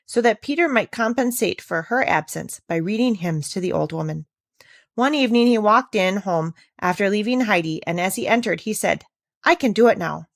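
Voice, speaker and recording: A woman's voice: medium pitch, dry recording, studio quality. A woman reading a children's classic aloud as a scripted storybook narrator.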